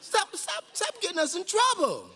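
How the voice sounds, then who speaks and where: A man's voice: very high-pitched, huge pitch swings, normal speed, pushed and bright, pitched above the performer's own voice, full character voice. A man voicing a frightened storybook eagle that believes it is a chicken, pleading anxiously. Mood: panicked pleading. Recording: echoey hall with long reverb.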